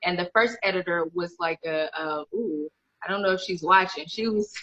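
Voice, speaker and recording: Bright-voiced woman on a lo-fi call. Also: fairly low pitch, moderate pace. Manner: candid, rambling, many restarts. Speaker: guest author. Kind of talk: casual interview chat. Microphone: video call.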